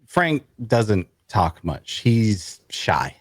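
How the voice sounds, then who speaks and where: Guarded, grumpy male wanderer played by a man, unhurried and dry with wide pitch movement, close dry sound, fantasy audio drama. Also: sing-song, no room sound, heavily acted. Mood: evasive, dry. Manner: dry, curt, hesitant. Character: guarded, grumpy, evasive loner male traveler. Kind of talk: dark fantasy audio drama table read.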